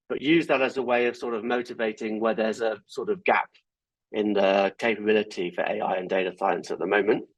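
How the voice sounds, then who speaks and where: Male guest lecturer, informal and self-deprecating, slightly husky voice, dry close sound. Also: mid-range voice, a bit bright, dry recording, low-quality recording. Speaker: guest speaker. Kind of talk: academic talk on a video call. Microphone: computer mic on a video call.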